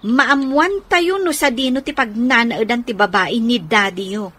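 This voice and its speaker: A woman's voice: a little high, unhurried, fairly bright tone. A woman with an Ilocano accent acting in a radio drama as a caring, take-charge godmother. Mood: reassuring.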